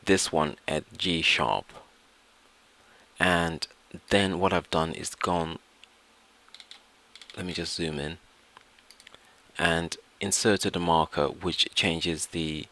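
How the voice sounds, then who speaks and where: A man presenting a step-by-step software tutorial, explaining things and often repeating and rephrasing his phrases. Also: sits low, steady tone, slow, long pauses.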